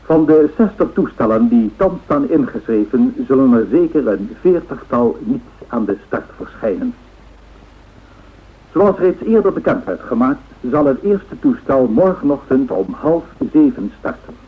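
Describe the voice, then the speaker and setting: A man's voice: mid-range voice, very animated, unhurried, husky. A man playing a formal newsreader who delivers a scripted, factual radio bulletin. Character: formal radio newsreader reporting on an air race. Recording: muffled, tinny old radio broadcast sound.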